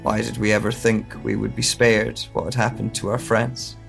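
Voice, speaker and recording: A man playing a haunted, guilt-ridden scholar with lost memories in an audio drama monologue, speaking in halting, deliberate phrases. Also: lowish voice, little pitch movement, creaky, fairly bright tone, faint music behind. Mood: somber, reflective.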